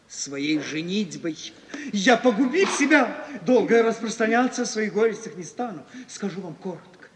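A high-voiced male fortune hunter, full of self-pity and oily flattery. He speaks in the plaintive, theatrical manner of old Soviet radio drama. A long hall reverb surrounds the voice. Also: slight background noise, heavily acted. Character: self-pitying, flattering handsome fortune-hunting married gentleman.

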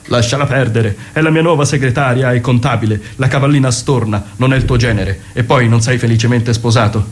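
A man's voice: mid-range voice, brisk, natural voice. A man acting a pompous, melodramatic doctor in a mock-serious radio theatre parody. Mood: chummy. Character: pompous male doctor colleague, melodramatic poet-surgeon.

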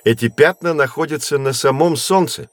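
An actor playing a learned, patient astronomy professor who explains things in a measured, didactic way in an educational audio drama. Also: male voice, medium pitch, dry recording, close to an everyday voice. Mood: patient explaining. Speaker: teacher.

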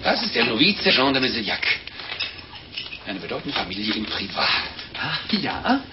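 Male actor as unctuous fatherly monk, animated, pious, fussy, chuckling, old radio play, noisy dull recording. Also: medium pitch, normal speed, natural voice. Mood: jovial, fussy. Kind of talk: German radio play acting.

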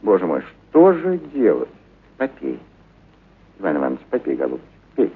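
A man's voice: low voice, huge pitch swings, moderate pace, breathy edge, full character voice. An actor playing a kindly, eccentric animal trainer in a radio play, talking theatrically and fondly to his pets. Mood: grieving, distressed. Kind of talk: radio play acting of a short story. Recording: narrow muffled radio sound, noisy background.

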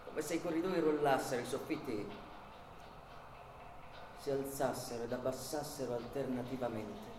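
A man giving dramatic literary narration in a radio play, with measured, incantatory phrasing. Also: medium pitch, noisy background. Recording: roomy echo with music underneath.

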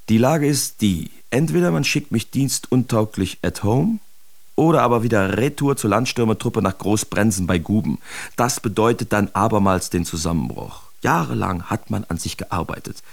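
Low, gravelly, embittered artist. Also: male voice. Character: embittered male artist writing letters from a wartime asylum. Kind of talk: dramatic reading of letters in radio play.

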